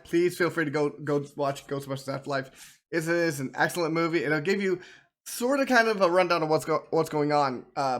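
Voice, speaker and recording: A man's voice: a little high, fairly bright tone. A man giving casual, rambling game commentary as a streamer, often repeating his phrases. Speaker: gaming streamer.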